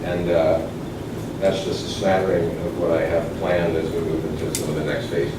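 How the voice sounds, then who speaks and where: Low-voiced man presenting a conference talk. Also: little pitch movement, normal speed. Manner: informal, rambling with fillers and restarts. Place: conference session room. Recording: echoey room from far off, noisy.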